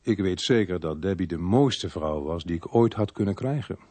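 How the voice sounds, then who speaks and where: A husky, fairly deep-voiced man in a radio play. He is shy and old-fashioned, speaking in an understated, hesitant way, as someone smitten who blames himself. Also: expressive, normal speed, dark tone. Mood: rueful, reflective. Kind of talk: radio drama acting with first-person narration.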